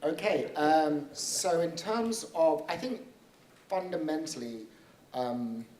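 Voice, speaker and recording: A man giving panel remarks at a conference, speaking thoughtfully with frequent pauses and restarts. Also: a little high, a little mellow, roomy sound. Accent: non-American (said). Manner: thoughtful, hesitant with many restarts. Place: conference hall.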